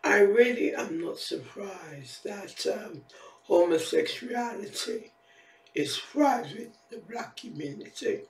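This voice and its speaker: A hoarse woman in her fifties who is losing her voice, rambling through a casual vlog and repeating her phrases. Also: medium pitch, animated, slow, a little mellow, echoey room, far from the mic, low-quality recording. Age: 50s. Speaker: vlogger.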